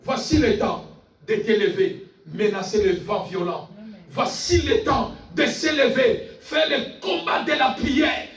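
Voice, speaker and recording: A man's voice: very high voice, slow. A man preaching a fervent sermon, speaking emphatically and insistently, repeating phrases and calling on listeners for amens. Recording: echoey room, distant mic, faint music.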